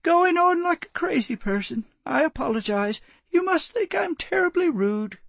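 A man's voice: very high voice, big pitch swings, normal speed, vocal fry, a bit bright, no echo, voice pushed up, heavily acted. A man voicing an elderly, polite, grieving woman in a genteel, plaintive manner, in an audiobook story. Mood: flustered. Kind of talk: audiobook character voice.

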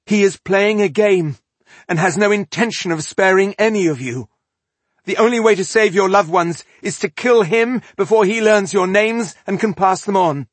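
Man voicing a fierce young rebel woman, raised pitch. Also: normal speed, no echo. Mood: urging. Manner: urgent, accusing, hissing.

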